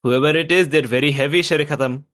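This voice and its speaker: A man playing a put-upon crew member who grumbles with deadpan exasperation. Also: on the high side, fast talker, close to an everyday voice. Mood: exasperated.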